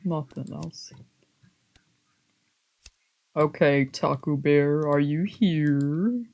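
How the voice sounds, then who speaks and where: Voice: mid-range voice, very slow, a little mellow, low-quality recording. A streamer giving casual, reactive let's play commentary on a visual novel, often muttering and exclaiming to themself.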